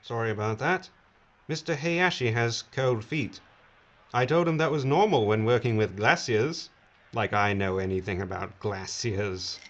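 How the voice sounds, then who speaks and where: A man's voice: medium pitch, unhurried, a bit bright, dull audio, a little hiss or hum, close to an everyday voice. A man acting a smug, glib corporate boss in an audio drama, with an unctuous, folksy sales patter. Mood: breezy, apologetic. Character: smug, glib male corporate boss of an ice-mining venture.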